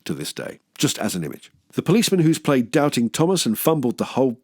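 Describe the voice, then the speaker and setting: A man's voice: medium pitch, very animated, fast talker, darkish tone, no room sound, clean studio sound. A British man hosting a film review channel, narrating a scripted video essay in an enthusiastic, wry, playfully sweary manner.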